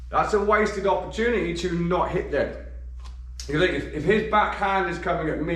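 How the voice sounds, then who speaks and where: Man, boxing coach, slightly croaky, fairly high voice, echoey gym. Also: far from the mic. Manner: demonstrates moves while talking, counting punches. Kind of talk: instructional demonstration.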